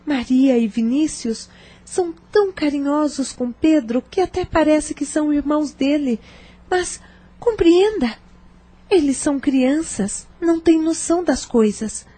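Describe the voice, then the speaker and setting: A woman's voice: sits high, a little slow, warm tone. A woman playing a humble, self-sacrificing, shamed wife in an audio novel. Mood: tender, pleading. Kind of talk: audio novel dramatic acting.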